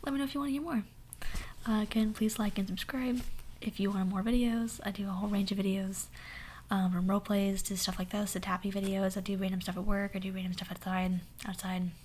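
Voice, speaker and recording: A young woman with a hoarse voice telling a sassy, dramatic story in a soft whisper. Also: mid-range voice, level tone, fairly quick, slight background noise. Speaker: ASMR storyteller. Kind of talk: whispered ASMR story time.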